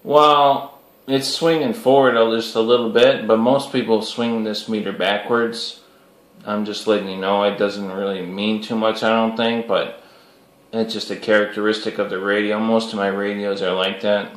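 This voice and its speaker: A man's voice: lowish voice, level tone, moderate pace. A man chatting casually on CB radio in an informal, slangy way. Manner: informal, peppers talk with "you know" and CB slang. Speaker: CB radio hobbyist recording the video. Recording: echoey room.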